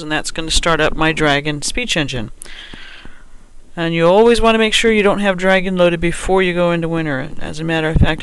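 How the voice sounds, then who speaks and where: Guy walking thru a computer tutorial, bright forceful voice, kinda high, thinks out loud as he goes. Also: slight background noise, rough recording. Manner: halting, thinks aloud while working. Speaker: software tutorial instructor. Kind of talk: unscripted step-by-step screen tutorial.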